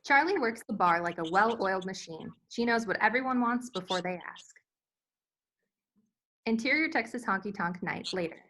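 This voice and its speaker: A woman narrates a screenplay's scene headings and action lines. Her voice is medium in pitch, in the style of scripted narration for a table read. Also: expressive, moderate pace, a bit bright. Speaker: stage directions reader.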